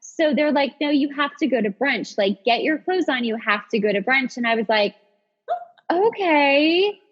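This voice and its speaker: A woman in her thirties with a husky voice, chatting casually and telling stories in an animated, self-deprecating way as a podcast host. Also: high-pitched, normal speed. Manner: animated, self-deprecating storyteller who acts out quotes. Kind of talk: casual chat and storytelling. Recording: dull audio with long reverb.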